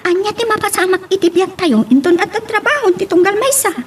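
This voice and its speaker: An actress playing a wistful young student among friends in an audio drama. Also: female voice, extremely high pitch, fairly quick, slight background noise. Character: wistful young student girlfriend musing about the future. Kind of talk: Ilocano radio-style drama acting.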